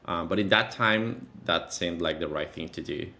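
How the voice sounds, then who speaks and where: A man's voice: low voice, vocal fry, sharp tone. A man in his thirties with a Venezuelan accent, a native Spanish speaker, answering interview questions conversationally and often correcting himself as he goes. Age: 30s. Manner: halting, self-correcting, repeats phrases. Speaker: interview guest, content creator.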